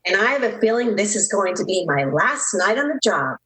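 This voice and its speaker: A woman's voice: mid-range voice, big pitch swings, a little fast, fairly bright tone, a little hiss or hum. Woman playing a lilting, lovestruck 1930s switchboard girl. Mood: dreamy, excited. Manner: earnest, romantic, gushing. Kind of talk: 1930s radio acting.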